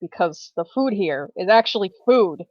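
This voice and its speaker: A woman's voice: mid-range voice, animated, normal speed, dry recording. A woman role-playing at a tabletop game in casual, playful table talk, on a dull recording.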